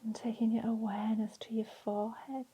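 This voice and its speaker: A woman leading a guided relaxation in a soft, breathy, soothing voice, leaving long pauses between phrases. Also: on the high side, flat delivery, lo-fi sound. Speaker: meditation and relaxation instructor.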